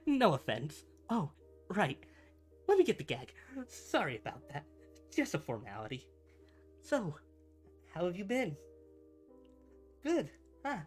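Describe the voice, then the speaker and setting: Man voicing a theatrical supervillain with wildly swooping pitch. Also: wildly swooping pitch, measured pace, pauses often, faint music behind, full character voice. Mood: chatty, concerned. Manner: pompous, melodramatic, swinging into chatty asides. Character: theatrical, bumbling supervillain, gloating yet secretly caring rival. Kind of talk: scripted ASMR roleplay monologue.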